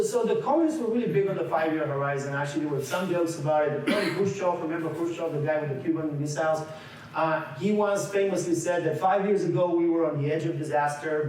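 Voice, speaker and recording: A man's voice: a little high, fairly quick, a little mellow, a little hiss or hum. A man in his forties from Bulgaria leading a seminar, talking conversationally with frequent restarts and questions to the room. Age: 40s. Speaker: workshop leader. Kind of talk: interactive seminar lecture. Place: large echoey meeting room. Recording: echoey room recording from far off.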